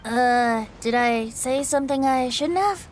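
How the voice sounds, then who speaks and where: A woman's voice: high-pitched, unhurried, slight background noise. A woman voicing a playful, carefree young male bard who teases and laughs easily. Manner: teasing, breezy, laughs easily.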